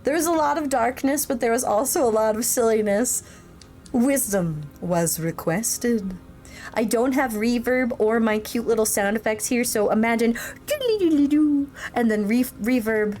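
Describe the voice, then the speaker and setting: A woman's voice: a little high, normal speed, a little mellow, faint music behind. A woman in her thirties, a military veteran, chatting casually and telling stories on a livestream, laughing often. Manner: chatty and rambling, laughs often, with frequent fillers. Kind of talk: casual livestream chat and storytelling.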